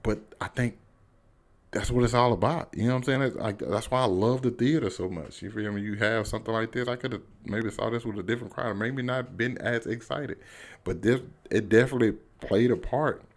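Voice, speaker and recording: A man's voice: medium pitch, a little fast. An American man giving a casual, unscripted movie review to viewers, enthusiastic and slangy, repeating phrases for emphasis.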